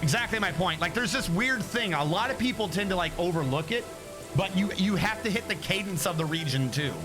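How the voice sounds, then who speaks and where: A young man with a high voice rambles chattily to his stream chat, stopping often to correct himself. Music plays clearly behind him as he talks. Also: expressive, fairly quick. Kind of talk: casual livestream chat.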